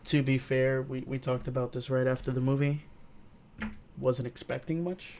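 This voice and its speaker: A male co-host with plenty of vocal fry gives his opinions in a casual chat. He comes across laid-back and agreeable, talking in a loose, conversational way. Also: mid-range voice, flat delivery, moderate pace, a little mellow, a little hiss or hum. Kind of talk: casual chat, film review.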